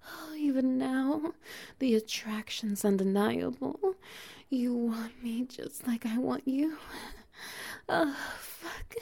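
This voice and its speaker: A doting, dominant bear-woman played by a woman murmurs in a soft, teasing whisper with low breathy laughs. Her voice is mellow and warm with a gravelly fry. She takes her time, drawing every word out slowly. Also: full character voice. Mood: sultry, doting. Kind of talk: intimate audio roleplay.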